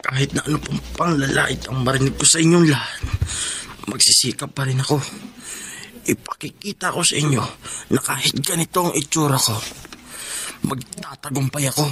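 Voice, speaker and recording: A man playing a humble, bullied high school boy in a Filipino radio drama, speaking politely and plaintively. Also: moderate pace, pauses often, heavy background noise, natural voice. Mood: hurt, determined.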